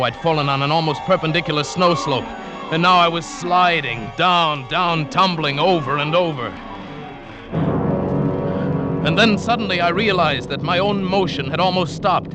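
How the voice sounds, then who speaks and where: An actor playing an earnest, superstitious South American mountain guide telling his perilous adventure in a measured, dramatic 1940s radio style. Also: on the high side, lightly acted. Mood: tense, urgent. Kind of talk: 1940s-50s radio drama acting and narration. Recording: vintage radio recording with music.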